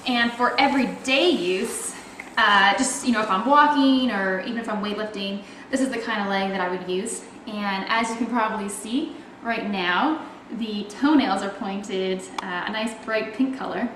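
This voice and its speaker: A woman's voice: medium pitch, moderate pace, a bit bright, echoey room, a little hiss or hum, low-quality recording. A young woman with a Canadian accent, chatting casually in a video blog and explaining things with plenty of fillers. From Toronto, Canada. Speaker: athlete video blogger.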